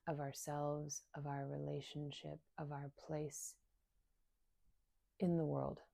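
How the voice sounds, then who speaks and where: A woman guides a meditation in a low, mellow voice with very little melodic movement. She speaks in short, soothing phrases with long pauses, and her phrases soften as they end. The delivery is slow and unhurried. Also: little pitch movement, gravelly, dry recording, clean studio sound. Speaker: meditation guide and somatic coach.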